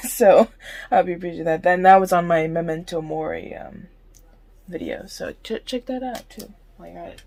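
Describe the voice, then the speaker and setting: Young female YouTuber with a low, chatty, giggly delivery. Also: very animated, moderate pace, a little mellow. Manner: chatty, giggly, talks back to the recording. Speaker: YouTuber reacting to a podcast. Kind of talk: casual chat to camera.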